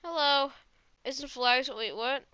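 High female voice, bright tone, casual gaming commentary, rambling, sweary. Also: slow, clean tone, no echo. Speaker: gaming video host. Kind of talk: casual let's play commentary.

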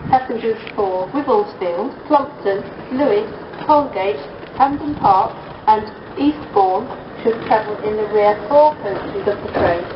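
A woman's voice: medium pitch, slow, few pauses. A woman with a British accent giving automated platform announcements at a railway station. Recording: echoey, distant, muffled platform recording, noisy.

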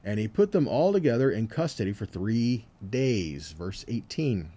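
A man delivers an informal scripture teaching in a relaxed, warm-toned voice. His pitch is lively and varied as he moves between reading and explaining. He talks conversationally, checking in with short rhetorical questions. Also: normal speed, slightly rough. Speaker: Bible teacher giving a weekly scripture teaching.

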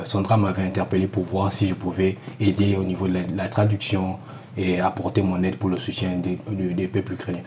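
A young man with a low, dark voice and an even, level delivery speaks into a lapel mic in a small TV studio. He answers in a measured way, often restating his points, and a faint ambience sits behind him. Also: slight background noise. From Republic of the Congo. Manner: measured, repeats and rephrases. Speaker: graduate student, committee volunteer. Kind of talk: studio TV interview.

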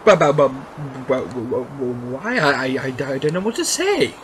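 An actor playing a loving, good-natured young husband and nephew in an audio drama. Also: male voice, mid-range voice, big pitch swings, moderate pace, a crowd in the background, close to an everyday voice. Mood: flustered.

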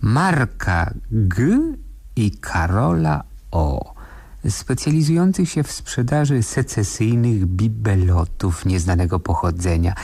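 Husky, wry lawyer narrating. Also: male voice, lowish voice, animated, slow pace, a little mellow, exaggerated voice. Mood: wry, storytelling. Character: wry male defence lawyer recalling a strange case. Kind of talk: 1970s radio play narration.

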